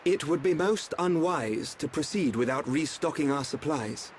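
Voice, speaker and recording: Formal calm advisor type, slow and measured, bit high voiced by a man. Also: a little hiss or hum, natural voice. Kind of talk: video game cutscene acting.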